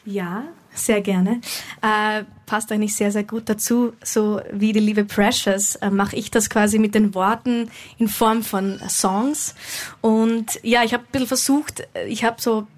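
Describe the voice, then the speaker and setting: A woman speaks at a medium pitch, introducing her own song on stage. Her delivery is informal and chatty, wandering through her sentences. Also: steady tone, unhurried.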